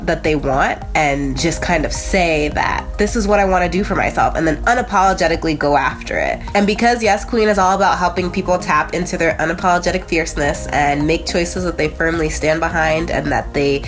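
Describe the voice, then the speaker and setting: American woman, lowish nasal voice, stuffed-up, informal motivational vlog host, conversational asides, faint music behind. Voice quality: nasal, congested. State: congested. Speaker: host of a motivational video channel. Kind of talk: casual, chatty motivational vlog.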